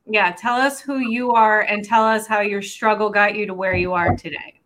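A woman's voice: mid-range voice, steady tone, moderate pace, fairly bright tone, a little hiss or hum. A woman co-hosting a casual interview, asking questions in an encouraging way. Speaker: podcast co-host.

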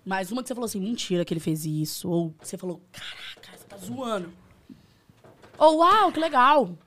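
A woman's voice: mid-range voice, animated, a little fast, fairly bright tone, a little hiss or hum. A woman co-hosting a podcast, teasing the guest playfully and reading viewer questions aloud. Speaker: podcast co-host.

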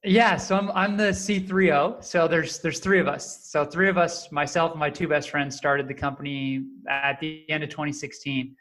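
A man in his thirties chats easily as a podcast guest. His voice sits a bit higher than most men's, and he tells his story in a relaxed, joking way. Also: moderate pace, hardly any pauses. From Canada. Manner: friendly, jokey, relaxed storyteller. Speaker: podcast guest, startup co-founder. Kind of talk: casual interview answers.

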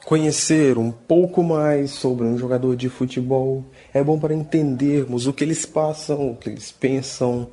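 A male sports reporter reading interview questions and scripted narration in a measured, formal way. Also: mid-range voice, fairly even intonation, warm tone.

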